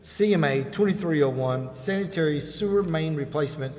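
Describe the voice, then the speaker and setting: A man's voice: on the high side, fairly quick, darkish tone, slight background noise. An American man chairing a formal meeting, reading agenda items and procedure in an echoing chamber. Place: indoor council chamber.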